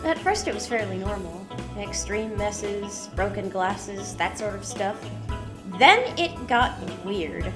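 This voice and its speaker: A woman playing a breezy, quick-witted hacker sidekick in an audio drama. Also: medium pitch, animated, over loud music. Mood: brisk, wry.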